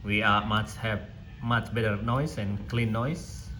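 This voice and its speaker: A man's voice: fairly low pitch, steady tone, slow, pushed and bright. Man giving tutorial in echoey, noisy room. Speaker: instructor demonstrating audio digitisation software. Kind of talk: step-by-step software tutorial, informal. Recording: echoey room, clear background noise.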